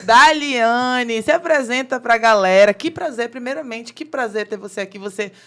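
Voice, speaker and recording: A woman hosting a casual podcast interview, lively and quick to laugh. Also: fairly high pitch, soft background music. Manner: lively, laughs easily, talks fast in bursts. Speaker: podcast host.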